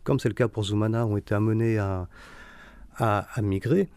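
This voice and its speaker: A man speaking as a book publisher in an informal interview, haltingly, often repeating and rephrasing his words. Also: lowish voice, fairly even intonation, a little mellow, smooth, no room sound.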